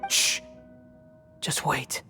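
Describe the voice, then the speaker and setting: A man's voice: drawn-out words, music in the background. Haughty, sardonic young man. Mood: focused. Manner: sarcastic, dismissive, clipped. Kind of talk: animated game voice acting.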